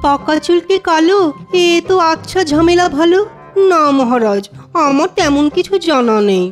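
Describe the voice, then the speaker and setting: A woman voices a flustered court poet in a cartoon. The voice is high, with music playing lightly behind it. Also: moderate pace, natural voice. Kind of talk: Bengali comedy cartoon voice acting.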